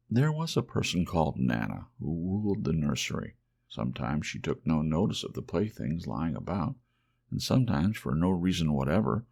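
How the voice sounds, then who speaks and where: Man, low creaky voice, expressive, measured ASMR storybook narration. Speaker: audiobook narrator.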